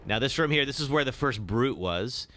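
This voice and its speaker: Young guy live streaming, bit raspy voice, super animated, talks to chat a lot. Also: mid-range voice, normal speed, a little hiss or hum. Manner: chatty, reacts with sudden loud exclamations; filler-heavy, answers chat. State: slightly raspy voice. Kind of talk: live gameplay commentary.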